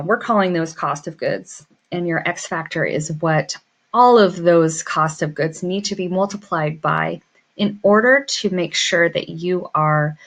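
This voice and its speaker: Halting, lower-pitched woman explaining informally. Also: fairly bright tone. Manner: hesitant, halting, thinking aloud. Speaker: instructor, florist business owner. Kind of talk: informal screen-share walkthrough, unscripted explanation.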